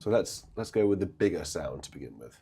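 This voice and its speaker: A hoarse man, chatting haltingly on a live stream about church organs. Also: deep voice, lively intonation, dark tone, slight background noise. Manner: halting, chatty, many restarts and fillers. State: hoarse, coming down with a cold. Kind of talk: casual live-stream chat.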